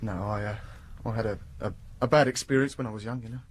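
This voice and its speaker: Man playing a husky, jittery, blustering British patient. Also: fairly low pitch, fairly even intonation, natural voice. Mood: relieved, nervous. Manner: jittery, insistent, halting, blustering. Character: nervous, boastful self-made man dreading the dentist. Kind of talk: radio drama acting.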